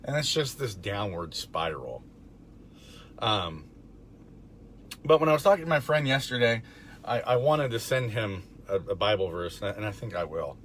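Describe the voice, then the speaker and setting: A man in middle age, his low voice raspy and gravelly from a recent illness, speaks in a relaxed, rambling way with fillers. He is recorded in a parked car, with a faint background hum. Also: moderate pace, long pauses. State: hoarse from being under the weather. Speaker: recovery vlogger. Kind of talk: casual faith talk to camera.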